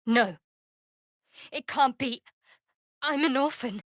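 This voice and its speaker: A guarded young priestess of a dark goddess for a fantasy video game. Her voice sits fairly high and is recorded close and dry. She speaks in clipped, measured phrases with wry, sardonic restraint. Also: no room sound. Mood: troubled. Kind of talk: video game voice acting, companion dialogue and battle barks.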